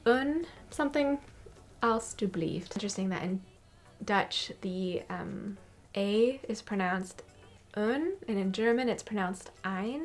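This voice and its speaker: A woman's voice: mid-range voice, slow, faint music behind. A young American woman chatting casually to camera, repeating foreign words to practise them and laughing at her own tries. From United States. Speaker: vlogger.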